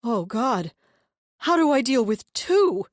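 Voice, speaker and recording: A woman's voice: on the high side, sing-song, slow, no echo, clean studio sound, voice pushed up. A woman doing a frantic, demon-fearing young man. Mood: desperate pleading. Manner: shouting, shaking, frantic. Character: manic, terrified young man screaming about demons.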